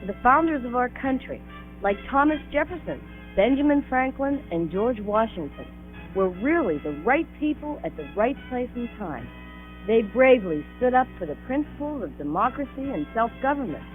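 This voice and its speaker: A woman's voice: on the high side, big pitch swings, normal speed. Woman playing earnest kid explaining stuff in an old school film, tinny narrow sound, bit of music behind. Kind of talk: educational film dialogue.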